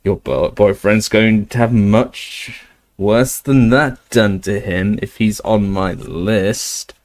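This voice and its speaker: A man voicing a menacing male snatcher with a rasping voice, slow and leering. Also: on the low side, darkish tone.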